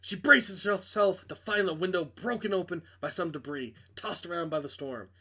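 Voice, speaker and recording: Male narrator, fairly high voice, dramatic reading of visual novel prose. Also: moderate pace, bright, forceful tone, husky.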